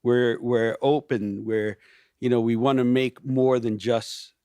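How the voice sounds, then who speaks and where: A man talking in a relaxed podcast conversation, his voice sitting at a middle pitch. He grows animated as he goes, restarting sentences and leaning on "you know". The sound is dry and close. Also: takes it slowly, clean tone, no echo. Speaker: pastor and worship leader, guest.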